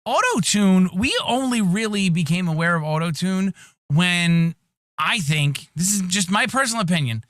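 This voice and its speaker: Whiny, nasal man with a lively voice. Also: high-pitched, moderate pace, no echo, clean studio sound. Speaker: podcast co-host. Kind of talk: casual chat. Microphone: EQ'd podcast mic.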